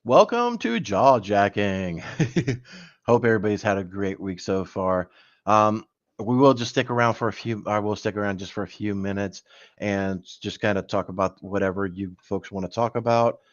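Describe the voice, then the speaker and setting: Rambling low-voiced man hosting a stream. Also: expressive, normal speed, dry recording, highs cut off. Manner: rambling, many fillers and restarts. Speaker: guest host of a live cyber news stream. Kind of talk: casual livestream chat.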